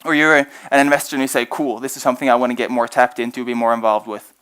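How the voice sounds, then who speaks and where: Young man, quick delivery, smooth clear voice, stage presentation, earnest with fillers. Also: mid-range voice, little pitch movement, a bit bright. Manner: fast, earnest, many "uh" fillers. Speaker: organization representative presenting at event.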